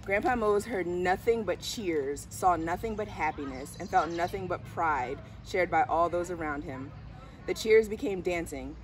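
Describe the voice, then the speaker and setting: A woman's voice: lowish voice, expressive, a little slow. A middle-aged woman reading a picture book aloud in a storytelling style. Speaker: school diversity director reading aloud. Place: outdoors on a garden bench under trees. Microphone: camera a few steps away. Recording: distant camera mic, outdoor background noise.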